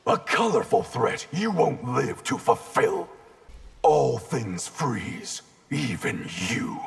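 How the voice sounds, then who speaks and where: A man playing a cold-blooded, grim ice warrior and clan leader in a fighting game, deliberate and threatening. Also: mid-range voice, sing-song, creaky, full character voice. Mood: icy menace. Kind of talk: video game fight intro banter.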